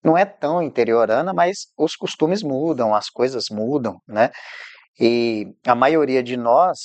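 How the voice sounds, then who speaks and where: A man hosts a podcast and muses aloud in a thoughtful way. His pitch sits in the middle, and he often ends with a questioning tag. The recording is dry and close. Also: expressive, a little slow, no echo. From Contagem, Minas Gerais, Brazil. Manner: reflective, frequent tag questions. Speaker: podcast host. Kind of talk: casual interview chat.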